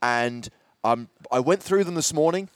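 A man hosting a quiz segment, explaining the setup in a steady way. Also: mid-range voice, moderate pace, clear, resonant tone, no echo. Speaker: quiz host.

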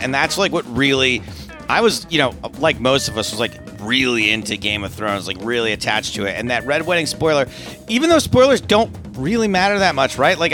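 A man's voice: medium pitch, bright, forceful tone. A man co-hosting a podcast, chatting casually in an informal, emphatic way. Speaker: podcast co-host. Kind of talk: casual chat.

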